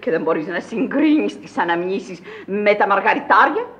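A woman's voice: mid-range voice, sing-song, a bit bright. An actress playing an imperious old Parisian madwoman in a radio play, declamatory, commanding and scolding. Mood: bossy, reproving. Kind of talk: theatrical radio drama acting. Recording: dull old radio recording.

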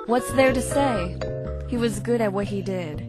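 A woman's voice: mid-range voice, unhurried, music in the background, natural voice. A woman voicing a haughty, intimidating female unicorn in a cartoon dub, formal, precise and curt. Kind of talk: cartoon fandub acting.

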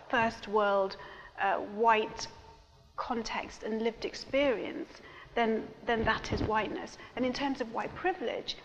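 A woman's voice: fairly high pitch, long reverb tail, lo-fi sound, a little hiss or hum. A woman speaking on a panel, searching for words with many fillers and restarts. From Pakistani heritage. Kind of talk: panel discussion.

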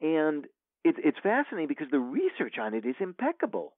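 A man's voice: on the high side, huge pitch swings, fairly quick, no echo. A man, an author guest, chatting casually in an interview, rambling with lots of fillers and restarts. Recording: tinny phone line, dry.

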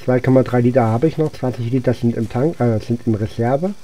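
A man with a very dark, mellow voice comments casually on a game he is playing. He talks as if thinking aloud, in an unhurried way, with a soft-edged, muted tone. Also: medium pitch. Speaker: gaming video host. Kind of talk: casual let's-play commentary.

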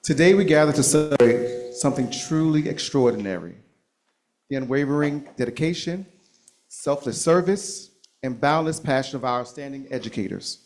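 A man delivers a formal tribute at a school board meeting, emphatic and ceremonious. His pitch sits in the middle range, and his pace is measured and deliberate. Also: a little mellow. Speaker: school district speaker. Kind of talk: formal prepared speech.

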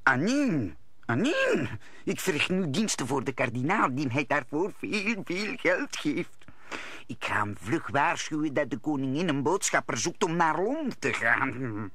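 An actor playing a greedy, foolish husband turned informer in a radio play. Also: male voice, fairly high pitch, very animated, measured pace, a bit bright, exaggerated voice. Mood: self-important.

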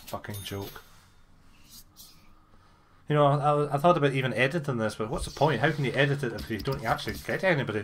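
A man's voice: medium pitch, a little fast, pauses often, fairly bright tone. Grumbling male gamer, noisy recording. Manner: sweary, grumbling, sarcastic. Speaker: gamer making a video. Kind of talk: casual gameplay commentary.

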